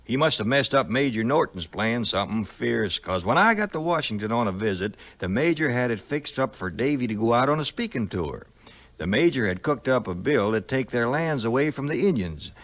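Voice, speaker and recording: An actor playing a loyal, folksy frontier sidekick with a rustic Southern American drawl in a 1950s audio play. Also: male voice, medium pitch, expressive, a little fast, a bit bright. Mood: folksy storytelling. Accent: American backwoods Southern.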